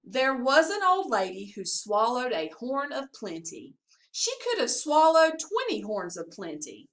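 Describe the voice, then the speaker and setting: A woman reading a picture book aloud to young children in an expressive, sing-song storytelling manner. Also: a little high, a little slow. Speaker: assistant principal reading to pupils.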